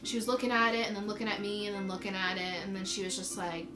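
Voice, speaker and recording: A woman's voice: mid-range voice, steady tone, fast. A woman in her twenties chatting casually and banteringly as a video co-host, answering viewer questions. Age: 20s. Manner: bantering, informal. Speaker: YouTube co-host. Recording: echoey room. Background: background music.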